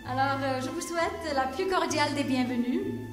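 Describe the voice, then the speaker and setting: A woman's voice: sits high, normal speed. A woman from Egypt whose first language is Arabic, a singer-songwriter hosting a benefit concert and reciting a poem on stage. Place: large concert hall. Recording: echoey hall from far off with music.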